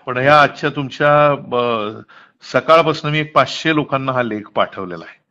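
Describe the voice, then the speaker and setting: A man's voice: mid-range voice, moderate pace, sharp tone. A middle-aged man explains things earnestly and in detail, mixing in English words, in the manner of a college principal. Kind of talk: earnest explanatory phone conversation. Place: phone call. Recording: muffled lo-fi phone call recording.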